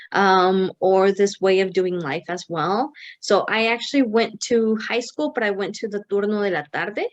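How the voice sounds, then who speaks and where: A young woman chats as an interview guest. She rambles, restarts often and slips Spanish words in, and her voice sits at an ordinary pitch. The audio is a little dull, as if lo-fi. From United States. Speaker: guest, company co-founder. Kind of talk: casual interview conversation. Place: Mexico City.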